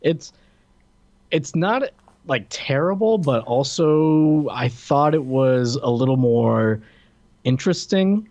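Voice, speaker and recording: A man co-hosting a podcast, chatting casually and haltingly in a movie review style. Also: mid-range voice, expressive, a little mellow, muffled recording. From Florida, United States. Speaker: podcast co-host.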